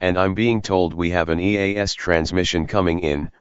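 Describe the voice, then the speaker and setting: A man's voice: low voice, fairly even intonation, moderate pace, clean tone, no room sound, close to an everyday voice. A man playing a music-station radio host, delivering scripted news in a polished, serious announcer style, with slightly dull audio. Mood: grave, composed.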